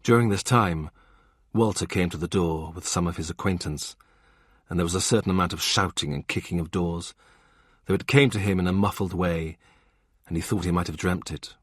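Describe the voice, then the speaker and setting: Male audiobook narrator, lowish husky voice, dry close recording, calm even read. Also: moderate pace, no echo. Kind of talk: scripted narration.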